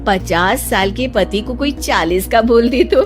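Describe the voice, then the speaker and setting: A woman's voice: fairly high pitch, unhurried. A woman narrating a scripted story as its storyteller. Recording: music behind the voice. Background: music.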